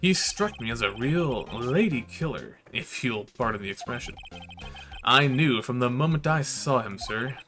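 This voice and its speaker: An obsequious young hotel bellboy from a visual novel, acted out by a man. He speaks with fawning formality at a middling pitch, boastful and eager to profit, with music playing behind him. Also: lively intonation, moderate pace, bright, forceful tone. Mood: smug, boastful. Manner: fawning, formal, peppering speech with sir. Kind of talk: visual novel character read aloud.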